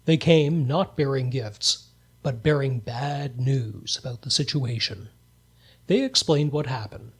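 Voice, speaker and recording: A deadpan narrator of a comedy radio play, voiced by a man. His husky, mid-range voice delivers scripted storytelling with a straight face. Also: medium pitch, unhurried.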